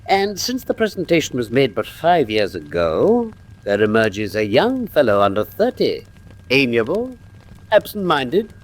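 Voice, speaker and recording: An actor with an English accent playing a confident, teasing gentleman detective in a classic radio drama, speaking precisely and with theatrical condescension. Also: mid-range voice, huge pitch swings, a little slow. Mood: coolly superior.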